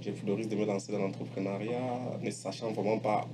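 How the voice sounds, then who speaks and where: A middle-aged man giving a personal testimonial as an entrepreneur, speaking in a halting, deliberate way and repeating phrases for emphasis. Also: lowish voice, steady tone. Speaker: entrepreneur, electronics technician by training. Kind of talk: personal testimonial, explaining his career. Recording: clearly noisy background ambience.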